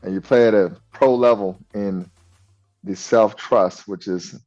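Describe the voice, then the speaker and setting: A man's voice: lowish voice, fairly even intonation, unhurried. A man hosting a testimonial interview, affirming and encouraging his guest. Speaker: host and coach. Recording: dull lo-fi sound, soft music.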